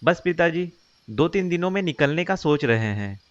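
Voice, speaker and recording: A man's voice: on the high side, animated, normal speed, lo-fi sound, close to an everyday voice. A man playing a simple, dutiful young village man in a cartoon story, mild and agreeable in the way he talks. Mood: respectful. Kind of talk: Hindi cartoon moral-story voice acting.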